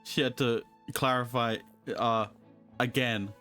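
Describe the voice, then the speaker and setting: A woman's voice: rumbling low, steady tone, slow, fairly bright tone, soft background music. A woman giving casual live commentary as she streams a game.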